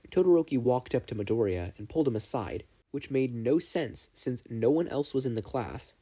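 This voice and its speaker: A man's voice: mid-range voice, mellow, breathy edge. A man reading a fan fiction story aloud as an amateur narrator. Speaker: podfic narrator.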